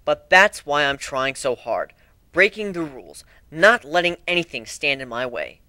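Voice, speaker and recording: A man's voice: a little high, lively intonation, moderate pace, pushed and bright, dry recording, clean studio sound. A man giving an amateur dramatic reading of a fan fiction story in a theatrical manner. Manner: theatrical, acts out dialogue.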